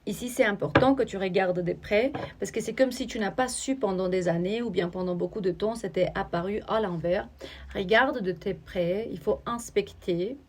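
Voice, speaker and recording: A woman giving a spiritual oracle card reading, talking straight to the viewer in a searching, halting way. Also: medium pitch, slightly rough.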